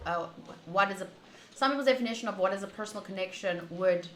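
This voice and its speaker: Bright-voiced woman streamer in an echoey room. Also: mid-range voice, fast. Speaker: streamer playing a visual novel. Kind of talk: casual playthrough commentary and reading game text aloud. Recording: roomy echo, faint background noise.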